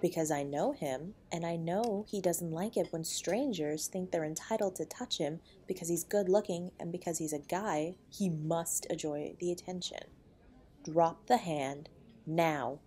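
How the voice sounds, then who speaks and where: A woman playing a protective, loving wife in an intimate audio roleplay, with a breathy voice. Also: on the low side, measured pace, mellow, natural voice. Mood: firm, indignant. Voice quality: breathy, often dropping to a whisper. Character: protective loving wife comforting and defending her husband.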